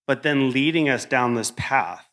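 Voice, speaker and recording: A man speaks in a panel discussion with a bright, forceful tone. His pitch is average. He thinks aloud in long, abstract sentences, searching for the shape of his idea. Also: unhurried.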